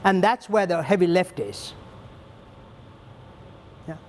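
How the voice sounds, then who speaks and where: A man's voice: high-pitched, lively intonation, slow pace, darkish tone, a little hiss or hum. A middle-aged man leading a child welfare organization, answering audience questions in an earnest, conversational way, thinking aloud with fillers and restarts. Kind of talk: panel Q&A, conversational.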